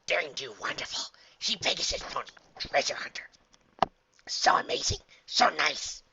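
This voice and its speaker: A man voicing a timid, simple-minded but eager dog creature in a storybook, talking in broken, excitable sentences. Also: fairly high pitch, normal speed, gravelly, muffled recording, noisy background, voice pushed up. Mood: delighted. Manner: broken third-person speech, cowering and excitable.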